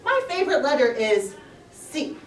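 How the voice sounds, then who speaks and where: A boastful, impatient puppet-show hare, acted out by a woman, talks in a brash, scolding way. The voice is high and animated, heard at a distance in an echoey room. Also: moderate pace, slight background noise. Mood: brisk. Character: boastful, impatient, fast hare, bossy and competitive. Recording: roomy echo, distant microphone.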